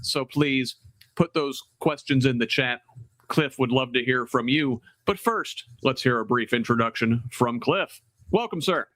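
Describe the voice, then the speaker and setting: A man hosting a virtual town hall, relaying viewer questions in an animated way with many fillers. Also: mid-range voice, a little slow. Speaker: host. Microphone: video call.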